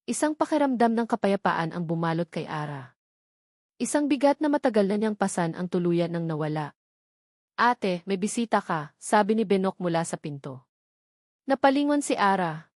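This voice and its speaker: A woman's voice: mid-range voice, a little mellow, no echo, clean studio sound. A woman reading a scripted, dramatic story as a narrator.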